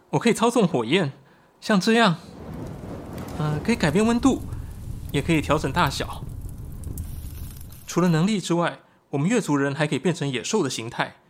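An actor playing an earnest, excitable young shapeshifting tribesman in a Chinese audio drama, explaining things expressively. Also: fairly high pitch, normal speed, long pauses, slight background noise, natural voice. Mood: eager, excited.

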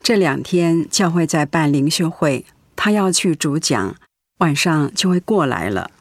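An actress playing a weary, devout middle-aged pastor's wife in a radio drama, ailing but calm and accepting. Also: female voice, sits low, unhurried, no room sound, clean studio sound, full character voice. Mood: tired, plain. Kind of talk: Mandarin radio drama acting.

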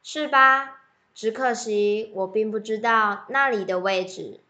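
A woman's voice: fairly high pitch, unhurried, pushed and bright, dull audio. A teenage girl playing a trusting elder ghost, a wanderer who is easily exasperated, in an amateur radio drama. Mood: wistful.

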